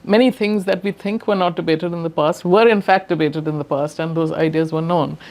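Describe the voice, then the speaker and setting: An older woman speaking English with an Indian accent, her voice sitting a little low. She talks as an interview guest in a reflective, conversational way. She is emphatic, often restarts her phrases and laughs softly. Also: steady tone, fairly quick. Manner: thoughtful, emphatic, frequent restarts and soft laughs. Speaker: interview guest, professor emerita.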